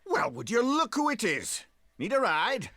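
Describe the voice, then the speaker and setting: An actor playing a jovial rustic wagon driver in a video game, with folksy, hearty, colloquial speech. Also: male voice, very high voice, wildly swooping pitch, unhurried, noisy background, heavily acted. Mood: cheery. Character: jovial rustic male wagon driver, plain-spoken rescuer.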